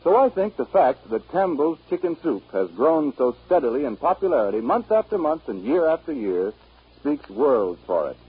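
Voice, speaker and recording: A man working as a radio announcer, reading a 1930s sponsor message with emphatic, deliberate salesman's phrasing. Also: fairly high pitch, sing-song, slightly rough. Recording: tinny 1939 radio recording.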